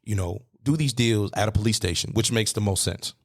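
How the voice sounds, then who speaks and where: A man's voice: on the low side, brisk. A man in his thirties hosting a casual podcast, laid-back, laughing often and swearing freely. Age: 30s. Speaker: podcast host.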